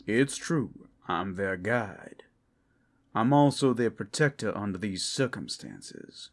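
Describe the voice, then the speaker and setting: A man's voice: on the low side, lively intonation, slow. A man playing a flirtatious, protective werewolf guide in an ASMR roleplay, teasing and folksy with a sardonic edge. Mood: firm, cool. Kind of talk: ASMR roleplay audio drama.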